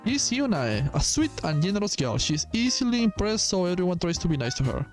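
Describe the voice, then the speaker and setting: A man's voice: high voice, very animated, brisk, soft background music. A man doing game playthrough commentary, reading dialogue aloud with casual asides. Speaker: gaming video host.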